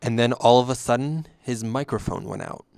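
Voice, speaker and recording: A man's voice: medium pitch, moderate pace, gravelly, darkish tone, clean tone, lightly acted. A man playing a former usher, reflectively narrating his story in scripted first person.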